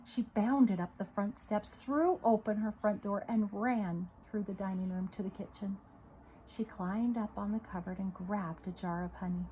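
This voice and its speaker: A woman with a husky voice reading a children's story aloud in an expressive, dramatic way. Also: medium pitch, a little hiss or hum, rough recording. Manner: dramatic, with emphatic repetition and hushed moments. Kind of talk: expressive read-aloud narration.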